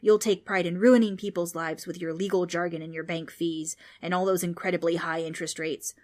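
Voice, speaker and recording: A woman reading aloud, voicing a loud, scornful male ghost who mocks children. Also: fairly low pitch, steady tone, fairly quick, close to an everyday voice. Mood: mocking. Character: bossy male ghost, gruff and mocking.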